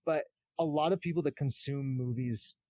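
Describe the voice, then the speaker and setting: A man's voice: medium pitch, moderate pace, warm tone, dry recording, studio quality. A man chatting casually as a podcast guest, earnest and a bit hesitant, often restarting his thoughts. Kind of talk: casual chat about games and films.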